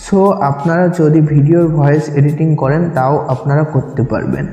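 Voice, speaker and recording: A man's voice: a little high, steady tone, moderate pace, mellow, lo-fi sound. A man with a Bangladeshi accent giving an instructional, step-by-step app tutorial voice-over, repeating his directions as he goes. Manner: instructional, repetitive. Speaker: tutorial presenter. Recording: echoey, reverberant room.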